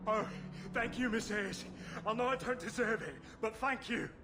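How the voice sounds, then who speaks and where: A man's voice: very high voice, normal speed, bright, forceful tone. An actor playing a desperate, humble refugee and family man pleading his case in a video game drama. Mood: humbly grateful. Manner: pleading, humble, plain-spoken working folk. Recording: music behind the voice.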